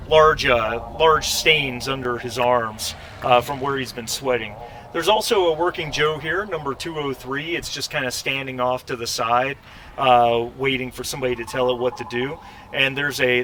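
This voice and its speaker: Bright-voiced man running a tabletop game, noisy recording. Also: medium pitch. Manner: halting, searching for words while describing scenes. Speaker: game master. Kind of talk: narrating a tabletop role-playing game.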